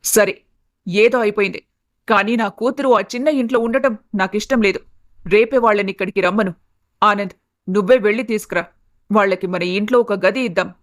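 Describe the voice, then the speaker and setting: A woman playing a bitter, status-obsessed middle-aged mother-in-law in an animated family story, indignant, sarcastic and scolding. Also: mid-range voice, a little fast, lightly acted. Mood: grudging.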